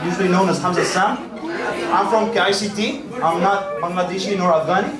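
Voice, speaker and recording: A man's voice: high-pitched, fairly even intonation, a little fast. A young man from Malaysia with an Egyptian background, telling stand-up stories conversationally and acting out the exchanges he quotes. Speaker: stand-up comedian. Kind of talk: live stand-up comedy storytelling. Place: indoor venue before a live audience. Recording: roomy live hall, far mic, loud crowd.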